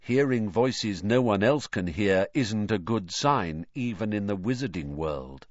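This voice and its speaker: A wry young schoolboy, played by a male audiobook narrator, gloomy and sarcastic, with a mobile pitch. The sound is very dry and a bit dull. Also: expressive, a little slow, dry recording. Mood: wry, glum. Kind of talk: audiobook character voice.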